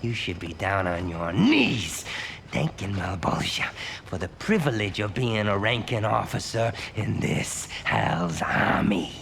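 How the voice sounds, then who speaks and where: An actor playing a sneering male demon clown who mockingly scolds in an animated series. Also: on the low side, gravelly, slight background noise, full character voice. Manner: scolding, mocking, lectures fast. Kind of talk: animated TV drama.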